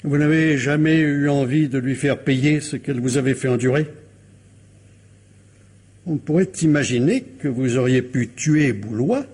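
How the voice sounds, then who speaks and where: An actor playing a shrewd, insistent police commissioner who questions a suspect with courteous, insinuating pressure, in a French radio crime drama. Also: male voice, mid-range voice, a little slow, a little mellow, husky. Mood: insinuating, pressing.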